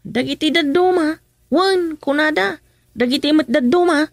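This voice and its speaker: A woman's voice: high-pitched, normal speed, dry recording, studio quality. A woman playing a meek village messenger in a rural comedy radio drama. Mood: defensive.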